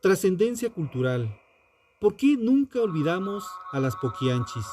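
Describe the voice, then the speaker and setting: Slow, solemn male true crime narrator. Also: high voice, big pitch swings, darkish tone, slight background noise. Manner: solemn, dramatic, deliberate phrasing with rhetorical questions. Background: music.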